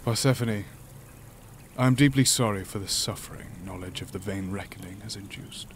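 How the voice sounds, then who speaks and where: An actor playing an imprisoned alien monster, gentle and philosophical, speaking in a formal, measured way for an audio drama. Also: male voice, fairly low pitch, background noise, natural voice. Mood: solemn. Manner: formal, measured, stilted speech.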